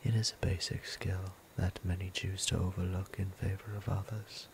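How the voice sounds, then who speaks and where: A man playing a gentle, courteous vampire priest in an ASMR roleplay, speaking softly and breathily in a formal, soothing way. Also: deep voice, flat delivery, slight background noise. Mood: earnest, hesitant. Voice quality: soft, breathy near-whisper. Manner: slow, deliberate, formal, soothing. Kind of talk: intimate ASMR roleplay acting.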